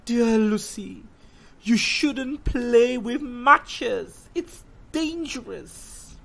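A woman voicing a gentle, worried mother who warns her child in a soft, sing-song way. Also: a little high, expressive, extremely slow, vocal fry, pitched above the performer's own voice, full character voice. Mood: cautioning.

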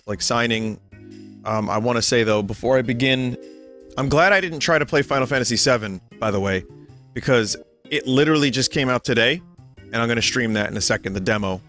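A young man, a little hoarse and tired, chatting casually and rambling to his stream viewers from home. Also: mid-range voice, fairly bright tone. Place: home room.

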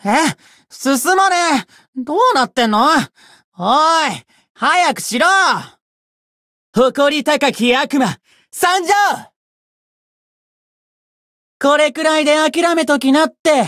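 A woman voicing a cocky, bratty young demon who boasts pompously in rough slang, in a Japanese game character style. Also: high-pitched, lively intonation, slow pace, a bit bright, dry recording, studio quality, heavily acted. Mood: impatient, haughty.

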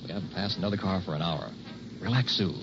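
Deep-voiced breezy young husband. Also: male voice, fast, noisy background. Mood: breezy, reassuring. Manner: breezy, soothing. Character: confident newlywed husband, breezy, reassuring, teasing. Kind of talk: early 1960s radio drama acting. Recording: dull old radio recording, music behind.

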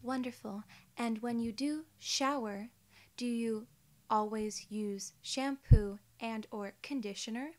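A young woman playing a polite, clinical alien inspector in an ASMR role-play, soft-spoken, formal and soothing. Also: a little high, slow. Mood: formal, calm. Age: young adult. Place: small indoor room, seated.

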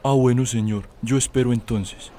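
A man's voice: mid-range voice, steady tone, normal speed, noisy background, lightly acted. A man with an Antioquia Colombian accent playing a naive, flustered young villager in a radio drama. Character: naive, flustered young country man seeking his father.

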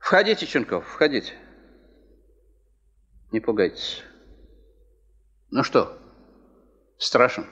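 A man's voice: mid-range voice, very animated, slow, long pauses, a bit bright, slightly rough, lo-fi sound, exaggerated voice. An actor playing an ailing, wry, respected older man in a 1970s Soviet radio play, with an ironic, pointed manner. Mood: wry welcome.

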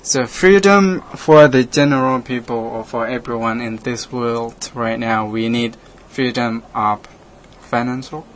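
A man from Laos, a native Lao speaker, hosting a radio talk and speaking haltingly with many restarts. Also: mid-range voice, slight background noise. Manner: halting, hesitant, frequent restarts, laughs often. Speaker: radio program host, monk. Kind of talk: casual conversation in English.

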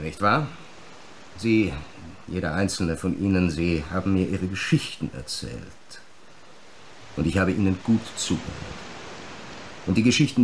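An actor playing a menacing, brooding film director in a radio drama, an abandoned son who accuses with insistent rhetorical questions. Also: male voice, low voice, slight background noise. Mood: brooding, accusing.

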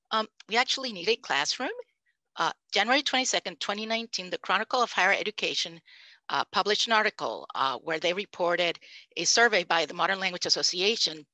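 A Puerto Rican woman in her fifties, a native Spanish speaker, giving an animated, emphatic panel talk over a headset microphone on a video call. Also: on the low side, no echo. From Puerto Rico. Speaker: panelist, language professor. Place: home room, indoors. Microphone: headset mic.

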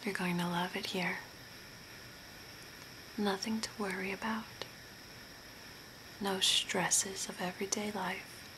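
A woman whispering softly and breathily in a soothing, deliberate ASMR role play, close to the mic. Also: mid-range voice, fairly even intonation. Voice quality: breathy, mostly unvoiced whisper. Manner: soft, deliberate, soothing with short phrases. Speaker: ASMR performer playing a zombie makeup artist. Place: small curtained room at home. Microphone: close to the mic at a desk. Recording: clear background noise, room ambience.

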